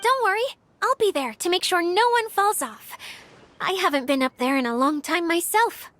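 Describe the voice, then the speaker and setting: A woman voicing a gentle, modest young female guide who speaks earnestly and hesitantly in a video game cutscene. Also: very high voice, animated, moderate pace, slight background noise. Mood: gentle, reflective. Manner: soft-hearted, earnest, hesitant. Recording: background music under the voice.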